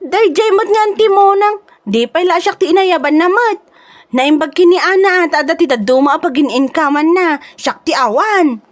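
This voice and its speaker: Comedy audio drama: envious gossip played by a woman, high, whiny, exclamatory, Ilocano-accented. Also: normal speed. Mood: aggrieved. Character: nosy, envious, grumbling younger female neighbour.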